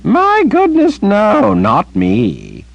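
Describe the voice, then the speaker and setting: A man voicing an elderly male wizard, a fretful, warm grandfather, with a high, quavering old voice. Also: huge pitch swings, extremely slow, creaky, dry recording, dull audio, heavily acted. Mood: earnest.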